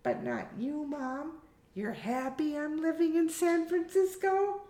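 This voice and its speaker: Woman putting on a high-pitched young daughter voice, roomy. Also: takes it slowly, dark tone. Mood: testing. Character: young adult daughter, bright and testing. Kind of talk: audiobook character voice. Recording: roomy echo.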